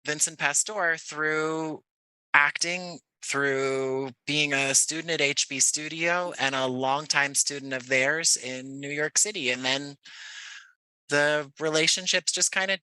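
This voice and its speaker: A man's voice: on the high side, fairly even intonation, slow, pushed and bright, smooth, dry recording, low-quality recording. A man from Jersey City chatting casually as an interview guest, telling stories in a discursive way. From Jersey City, United States. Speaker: guest playwright and director. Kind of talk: casual podcast conversation.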